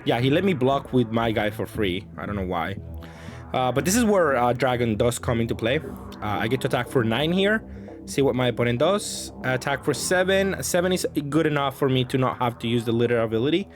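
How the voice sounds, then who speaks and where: A man's voice: medium pitch, animated, fairly quick. Casual man thinking aloud over music. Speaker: card game content creator and host. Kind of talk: casual unscripted gameplay commentary.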